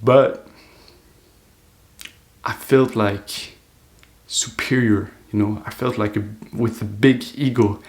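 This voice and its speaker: A man's voice: on the low side, steady tone, takes it slowly, long pauses. An eighteen-year-old man talking to camera in an earnest, loose, reflective monologue, full of "like" fillers. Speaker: self-improvement vlogger.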